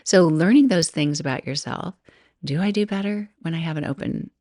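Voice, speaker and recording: A woman's voice: low voice, fairly quick, mellow, no room sound, clean studio sound. A middle-aged woman hosting a solo podcast, chatting casually and rambling, often laughing and interrupting herself. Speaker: podcast host.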